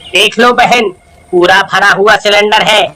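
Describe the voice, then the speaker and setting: Man as a high-pitched, opportunistic village trader. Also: fairly even intonation, unhurried, sharp tone. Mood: salesmanlike. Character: cheerful opportunistic villager or gas seller pushing his goods. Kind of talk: cartoon ghost story dialogue.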